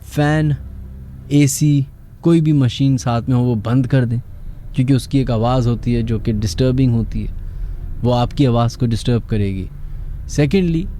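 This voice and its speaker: A man's voice: mid-range voice, normal speed, warm tone, a little hiss or hum. A man with a Pakistani accent hosting a late-night horror radio show, talking to listeners and callers. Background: background music.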